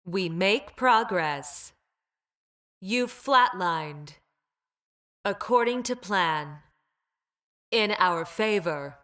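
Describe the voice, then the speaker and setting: An actress playing a cold, logical engineered creature in a video game, speaking in a deadpan, precise and formal way. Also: female voice, lowish voice, drawn-out words, pauses often, full character voice. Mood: calm, confident. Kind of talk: video game character voice lines.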